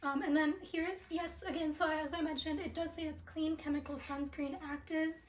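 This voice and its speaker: Chatty young woman reviewer, high and slightly raspy from allergies, recorded in a roomy, echoey apartment. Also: little pitch movement, moderate pace. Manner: chatty with many ums and likes. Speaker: beauty product reviewer. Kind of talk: casual product review vlog. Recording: echoey room.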